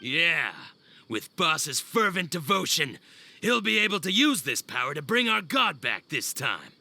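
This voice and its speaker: Gravelly, hard-edged mercenary henchman. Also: a little high, big pitch swings, unhurried, sharp tone, dry recording, exaggerated voice. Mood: gloating, zealous. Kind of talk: video game cutscene acting.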